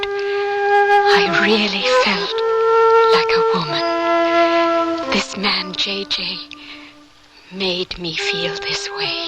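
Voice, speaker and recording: An actress playing a direct, tender Shoshone girl of seventeen, narrating a radio drama in a measured, intimate way. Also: medium pitch, poor audio. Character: direct, tender seventeen-year-old Shoshone young woman in love. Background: prominent flute music.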